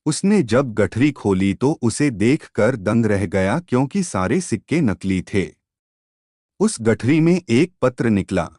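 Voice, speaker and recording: A man narrating a scripted moral tale in a measured, expressive storytelling manner. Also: medium pitch, no echo, clean studio sound.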